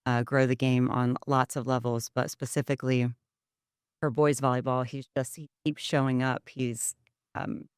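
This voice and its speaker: A woman guest on a TV interview, praising warmly in halting, gushing phrases. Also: a bass voice, flat delivery, clean tone, no room sound, clean studio sound. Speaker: volleyball program guest.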